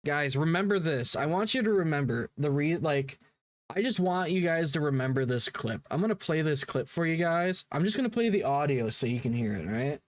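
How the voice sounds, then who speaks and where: A man's voice: fairly high pitch, lively intonation, fairly quick. A man giving blunt, mocking, profanity-laced reaction commentary in a casual style. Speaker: reaction video host.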